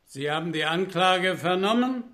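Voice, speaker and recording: An actor in a German radio play playing a stern British colonial judge, formal, officious and deliberate. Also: male voice, high-pitched, expressive, bright, forceful tone.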